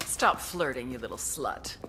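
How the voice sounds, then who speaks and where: A low female voice with a very mobile melody, playing a tough game interrogator. She is sardonic, profane and menacing, in command throughout. Faint noise sits behind her. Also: lively intonation, measured pace. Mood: mocking. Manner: taunting, profane, coldly commanding. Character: hard, sardonic, menacing female intelligence agent running brutal interrogations. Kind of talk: video game cutscene acting.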